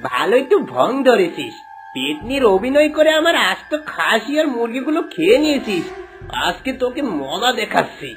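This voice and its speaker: A woman's voice: fairly high pitch, very animated, normal speed, soft background music, poor audio. A woman voicing a greedy, blustering husband who turns cowardly and whiny in a children's cartoon. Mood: annoyed, scornful.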